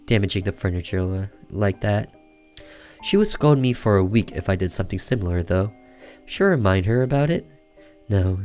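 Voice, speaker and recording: Man, low voice, expressive story narration, occasional stumbles, music underneath. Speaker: gaming streamer reading a visual novel aloud.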